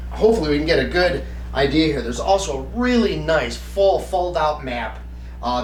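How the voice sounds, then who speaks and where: A man presenting a conversational tabletop game review, explaining things and answering his own questions. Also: medium pitch. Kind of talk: conversational product review to camera. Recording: roomy echo, distant mic, faint hum.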